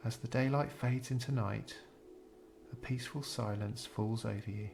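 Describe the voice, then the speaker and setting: A man guides a meditation in a gentle, measured delivery. His mid-pitched voice has a noticeable fry to it, and he leaves soothing pauses between scripted phrases. Noise is clearly audible behind him. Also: fairly even intonation.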